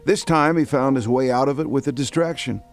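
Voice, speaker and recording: A man's voice: medium pitch, a little fast, soft background music. A man hosting and narrating a story in a scripted, conversational style. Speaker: host and narrator.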